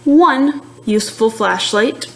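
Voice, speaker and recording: Slow-paced woman reading a storybook. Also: on the high side, animated. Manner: slow, deliberate storytelling with questions to young listeners. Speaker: teacher reading a picture book aloud to students. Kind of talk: expressive children's storybook read-aloud.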